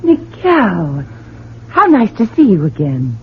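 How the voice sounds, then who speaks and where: An actress playing a gracious, devoted middle-aged wife and mother in a 1970s radio drama, genteel and expressive. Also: medium pitch, takes it slowly, slightly rough, exaggerated voice. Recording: thin, phone-like 1970s radio with noise.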